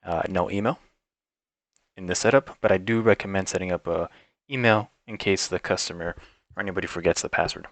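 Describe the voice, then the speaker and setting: Male tutorial narrator, deep voice, informal and explanatory, talking through software setup step by step in a dry, close recording. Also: steady tone, a little fast, gravelly, no echo. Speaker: tech tutorial instructor. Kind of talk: step-by-step software walkthrough, unscripted.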